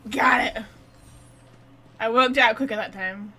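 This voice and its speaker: A woman's voice: on the high side, steady tone, unhurried, pushed and bright, faint music behind. A woman giving casual game playthrough commentary, chatty and reactive, thinking aloud. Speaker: gamer, streamer. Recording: faint background noise.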